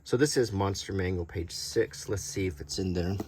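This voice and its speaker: A middle-aged man doing a casual, unscripted show-and-tell as a video host, talking in a halting, rambling, conversational way. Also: sits low, a little mellow, a little hiss or hum. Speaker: YouTube host showing vintage tabletop game monster cards.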